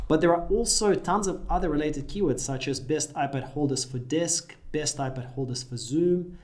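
A man's voice: on the high side, darkish tone. A man in his thirties from Russia, a Russian native speaker, giving an upbeat screen-share tutorial in a small room. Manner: upbeat, direct instructional talk. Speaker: affiliate marketing tutor.

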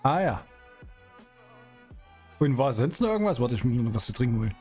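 A middle-aged man hosts a roleplay game stream in a chatty, colloquial way. His voice sits at an ordinary male pitch, with faint music behind it. He slips playfully between commentary and in-character chat. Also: sing-song, brisk, a little mellow, slightly rough. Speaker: streamer hosting a GTA roleplay series. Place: small indoor streaming room.

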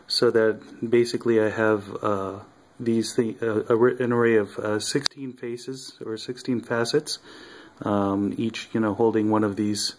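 A man's voice: on the low side, slight background noise. Hesitant man demoing a gadget. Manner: halting, many ums and uhs. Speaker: hobbyist maker. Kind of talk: casual project demo. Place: home workbench indoors.

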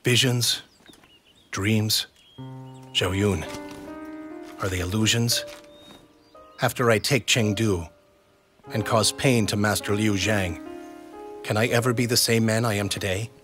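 An actor playing a noble, troubled warlord in a video game cutscene, speaking gravely and formally. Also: male voice, on the low side, fairly even intonation, slow pace, pauses often, fairly bright tone. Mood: troubled, doubting. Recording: background music under dialogue.

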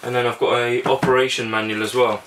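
A man's voice: medium pitch, fast, distant mic, background noise. A young man, casually explaining boat engine checks step by step in a small cabin. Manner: relaxed, practical, talks through steps with fillers. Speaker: sailing vlogger.

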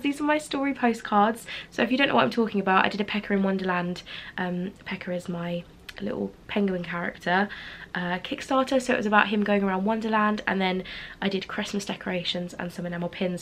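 A woman's voice: medium pitch, a bit bright, slight background noise. Chatty young woman, nasal from a cold. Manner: chatty, rambling, many fillers and restarts. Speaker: small business owner and artist. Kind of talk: casual studio vlog chat. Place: small home craft studio indoors.

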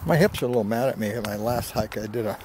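A man's voice: lowish voice, fast talker, noisy background. A man of about seventy narrating his hike casually, in a folksy, self-deprecating way, into a handheld camera outdoors. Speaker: hiking vlogger. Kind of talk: casual narration while hiking. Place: outdoors on a rocky desert mesa slope. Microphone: handheld camera at arm's length.

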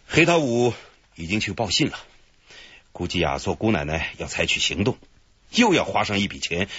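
An actor playing a cheerful, boastful detective leading a stakeout in a radio drama, with a husky voice. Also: male voice, low-pitched, a bit bright, no room sound, highs cut off, exaggerated voice. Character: cheerful, boastful middle-aged male police detective. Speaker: police detective leading the operation. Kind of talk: broadcast radio drama acting.

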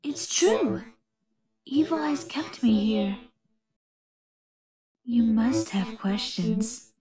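A woman voicing a lonely, pleading female animatronic chicken in fan-made character lines, soft, breathy and plaintive. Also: fairly high pitch, animated, drawn-out words. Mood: secretive, pleading.

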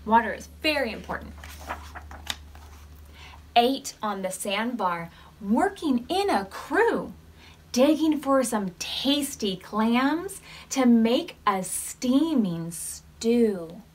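A woman's voice: on the high side, slightly rough. An American woman in her thirties leading storytime as a children's librarian, reading aloud expressively to preschoolers and putting questions to them. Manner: expressive, measured reading with questions to young listeners.

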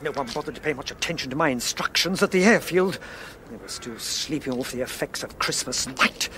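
An actor playing a flustered, hurried middle-aged official in a radio play. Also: male voice, mid-range voice, lively intonation, pushed and bright, slight background noise. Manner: agitated, hurried.

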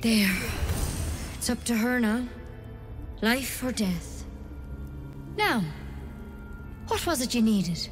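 An actress playing a caring young druid healer in a fantasy game, brisk, inquisitive and matter-of-fact. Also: female voice, on the high side, big pitch swings. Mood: focused, caring. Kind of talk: naturalistic fantasy game acting. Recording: long reverb with music behind.

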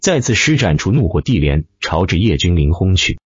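A man's voice: deep voice, big pitch swings, normal speed, slightly rough, dry recording, highs cut off. A man reading a fantasy novel as dramatic scripted narration. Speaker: audiobook narrator.

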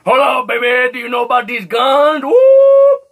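A young man playing a manic, boastful gym nut in comedy prank calls, with drawn-out exaggerated whoops and shouts. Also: extremely high pitch, big pitch swings, narrow, tinny audio, a little hiss or hum, exaggerated voice. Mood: boastful, excited. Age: young adult. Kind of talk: prank phone call comedy. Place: small cluttered room.

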